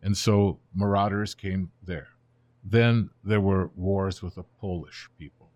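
This is a man in his seventies with a very deep voice, whose first language is Ukrainian. He tells history in a relaxed, storytelling way, and his voice often trails off softly at the ends of phrases. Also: a little slow, no room sound, muffled recording. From born in Germany, raised in the United States. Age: 70s. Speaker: guest. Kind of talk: conversational interview, explaining history.

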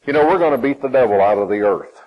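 A man with a Southern US accent preaching a sermon, emphatic, with his pitch climbing and falling and some phrases drawn out. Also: lively intonation, fast, dull audio.

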